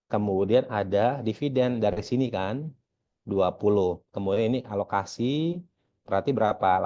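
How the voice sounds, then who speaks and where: A man's voice: on the low side, darkish tone. An Indonesian man lecturing conversationally through a worked exercise, often asking questions and answering them himself. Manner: conversational, asks and answers own questions, frequent check-in tags. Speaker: accounting lecturer. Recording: dull, lo-fi voice recording.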